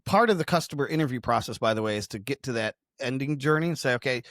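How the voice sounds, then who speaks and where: A man's voice: medium pitch, animated, fast, a bit bright, no room sound, clean studio sound. A man explaining ideas conversationally as a business podcast co-host, emphatic and slipping into imagined customer quotes.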